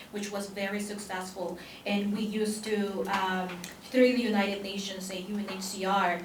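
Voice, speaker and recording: A woman's voice: mid-range voice, a little hiss or hum. A woman from El Salvador with a Salvadoran Spanish accent speaks on a conference panel, earnest, with many fillers and restarts. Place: conference room. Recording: echoey conference room from a distance.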